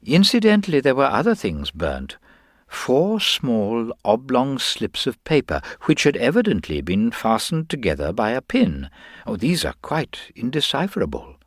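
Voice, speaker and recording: A man voicing a mild, hesitant middle-aged government investigator in an audiobook, speaking gently and precisely. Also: mid-range voice, huge pitch swings, a little slow, no echo, clean studio sound, pitched above the performer's own voice, exaggerated voice. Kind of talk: dramatised audiobook character dialogue.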